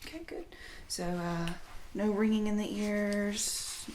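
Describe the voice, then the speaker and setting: A woman plays a doctor in a soft-spoken ASMR roleplay. Her medium-pitched voice is gentle and close to the mic, often dropping into a whisper. There is light noise and clicking in the recording. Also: fairly even intonation, takes it slowly. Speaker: ASMR performer playing a doctor.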